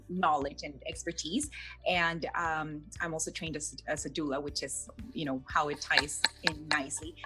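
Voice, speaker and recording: Playful woman coach, lowish voice, fillers. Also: low-quality recording. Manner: playful, many fillers and restarts. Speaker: fitness coach, guest. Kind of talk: casual interview answers.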